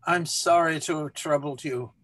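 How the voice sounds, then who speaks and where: A man's voice: a little high, normal speed, fairly bright tone, close to an everyday voice. A man voicing an earnest, grandiloquent dog in a solemn, theatrical story reading. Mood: earnest, confessional. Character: earnest, grandiloquent male pet dog confessing his wildness. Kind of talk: dramatic literary story reading.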